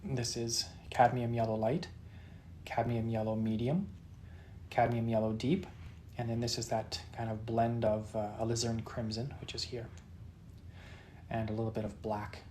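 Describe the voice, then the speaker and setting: A man in his thirties, a painter chatting casually with viewers during a live session, soft-spoken and halting with many fillers and restarts. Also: medium pitch, little pitch movement, a little hiss or hum. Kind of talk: casual chat while painting.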